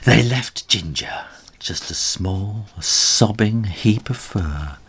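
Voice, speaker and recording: A man reading a children's story aloud as its author, in an animated storybook narration style with a husky voice. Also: lowish voice, slow.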